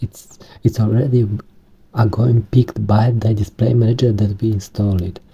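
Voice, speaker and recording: A man with a Romanian accent, speaking English as a second language, talking through a computer setup in a halting way with many restarts. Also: lowish voice, fairly even intonation, gravelly, warm tone, breathy edge, dull audio. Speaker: tech vlogger. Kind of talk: screen-recorded tutorial.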